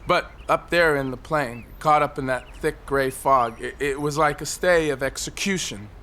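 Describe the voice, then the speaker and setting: An actor playing a despairing, agitated man perched on a high ledge in an audio drama, rambling on and given to outbursts. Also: moderate pace. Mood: wistful, rambling. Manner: rambling, wistful, bursting into shouts. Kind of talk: audio drama monologue and dialogue. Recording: faint city traffic noise behind.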